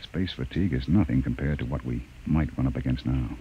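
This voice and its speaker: An actor playing a stern, harried spaceship captain in old-time radio science fiction, with clipped, commanding delivery. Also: male voice, very deep voice, brisk. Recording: dull, noisy old radio recording.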